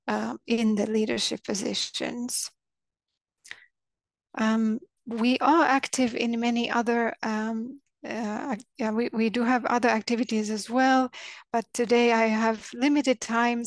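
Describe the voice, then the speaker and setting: A woman with a fairly high voice presents an organisation's work over slides. Her speech is measured and full of fillers and restarts, and the recording is dry and close. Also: no echo. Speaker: organisation representative.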